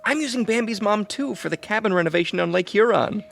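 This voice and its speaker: A woman's voice: mid-range voice, expressive, fast talker, vocal fry, soft background music. A woman acting in amateur audio theatre as a breezy, frank character, speaking in a casual, wry way.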